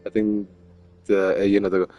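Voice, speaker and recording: A man's voice: fairly low pitch, flat delivery, moderate pace, mellow, dry recording. A man streaming a game with casual, rambling, slangy commentary. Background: faint game music.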